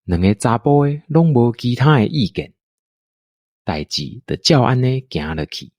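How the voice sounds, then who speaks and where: A man's voice: mid-range voice, big pitch swings, measured pace, a little mellow, no room sound. A man with a Taiwanese accent narrating a scripted radio drama as its storyteller.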